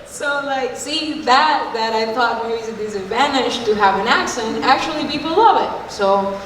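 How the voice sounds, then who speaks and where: A woman's voice: on the high side, expressive, normal speed, background noise. A Cuban woman, a singer on a panel, speaking English with a Cuban accent, hesitant with many ums. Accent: Cuban Spanish. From Cuba. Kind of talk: panel discussion, personal anecdote. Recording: echoey hall from far off.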